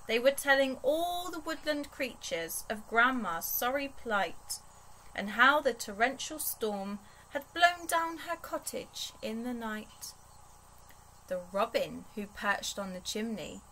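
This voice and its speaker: Young female storytime reader, higher register, slow expressive delivery for small children, recorded in a bedroom. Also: a little hiss or hum, low-quality recording. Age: young adult. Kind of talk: expressive read-aloud of a rhyming picture book.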